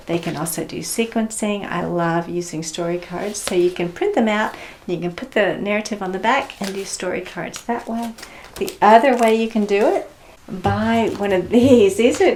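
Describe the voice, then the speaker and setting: A woman's voice: medium pitch, a little hiss or hum. A woman teaching in a children's storytime style, with simple instructive phrasing and checking questions. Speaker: Sunday school teacher and storyteller. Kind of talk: children's read-aloud and teaching demonstration.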